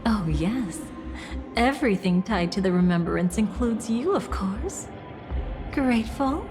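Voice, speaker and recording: A woman's voice: medium pitch, lively intonation, unhurried, natural voice. An actress voicing a scheming, theatrical young woman in a video game, playful and mocking, with music underneath. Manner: playful, mocking, dramatic swings in tone.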